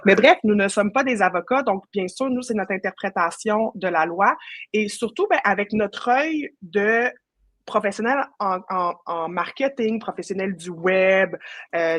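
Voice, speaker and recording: A woman's voice: medium pitch, no room sound. A woman with a Quebec French accent hosting a casual live online session, chatty and informal, dropping in English words. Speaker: web marketing professional. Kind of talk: casual live webinar chat.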